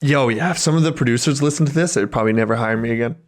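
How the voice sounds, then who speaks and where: A man's voice: medium pitch, racing. Slangy, sweary male podcast co-host. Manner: profane, slangy. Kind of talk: casual comedic podcast chat.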